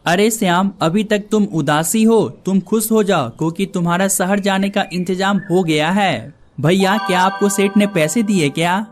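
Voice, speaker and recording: A man's voice: high voice, moderate pace, hardly any pauses, faint music behind. A man voicing the brothers and villagers of a cartoon story in plain conversational dialogue.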